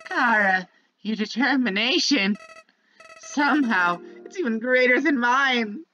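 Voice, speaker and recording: A woman's voice: fairly high pitch, lively intonation, unhurried, sharp tone, highs cut off. A woman voicing a sinister, childlike talking flower from a video game, bitter and manipulative, delivering a theatrical monologue that moves between sweet musing and menace. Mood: curious, searching. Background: prominent music.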